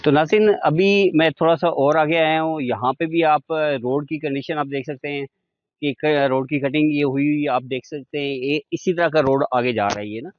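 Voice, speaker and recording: A man's voice: a little high, normal speed, no room sound, muffled recording. A middle-aged man with a Pakistani accent giving an informal, explanatory sales walk-through as a real estate agent, pointing things out as he goes. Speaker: real estate agent presenting a site visit. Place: outdoors on a forested hillside road.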